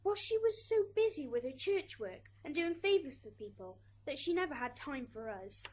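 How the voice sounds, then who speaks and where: A woman's voice: high-pitched, very animated, moderate pace. A woman acting a resentful, self-justifying schoolgirl daughter in an amateur radio drama. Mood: sullen. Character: resentful schoolgirl daughter testifying in afterlife court. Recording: hissy amateur recording, background noise.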